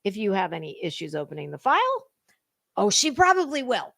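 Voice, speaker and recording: A woman's voice: medium pitch, big pitch swings, brisk, vocal fry, fairly bright tone. A woman hosting a gossip stream, giving a dramatic reading with sarcastic, mocking commentary in a theatrical, sassy way. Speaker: host of a gossip commentary livestream.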